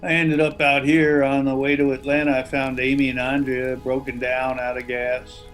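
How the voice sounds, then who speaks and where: A man's voice: mid-range voice, little pitch movement, moderate pace, a bit bright, natural voice. A man playing an older, folksy retired salesman and widower in a zombie drama table read. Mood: wistful, sad. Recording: video-call read over music.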